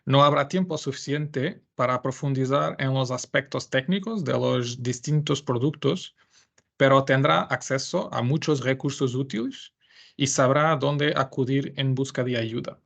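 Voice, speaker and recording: Gravelly-voiced Portuguese man presenting. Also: mid-range voice. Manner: careful, slightly halting non-native Spanish. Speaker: webinar presenter, information management adviser. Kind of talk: presenting a webinar.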